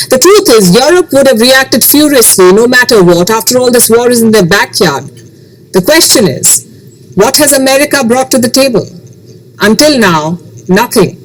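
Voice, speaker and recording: A woman hosting a scripted news commentary, speaking emphatically with rhetorical questions and dramatic pauses. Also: a little high, animated, low-quality recording.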